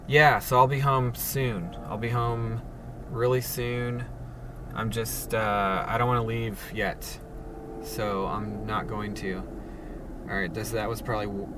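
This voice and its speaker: A man hosting a casual solo podcast from his parked car, speaking in a monotone with many halting fillers and restarts. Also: on the low side, far from the mic, noisy background. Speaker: podcast host. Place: sitting alone in a parked car.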